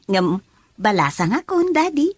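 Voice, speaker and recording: An affectionate young woman in love in a radio drama. Her voice is fairly high and swings freely, with a slightly breathy, unpolished edge. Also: full character voice. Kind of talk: Ilocano radio drama acting.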